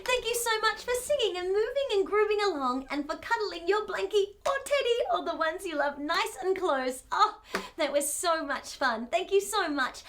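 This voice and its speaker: A woman's voice: very high voice, a bit bright. A woman hosting a children's storytime, gushing and exclamatory as she speaks directly to young children.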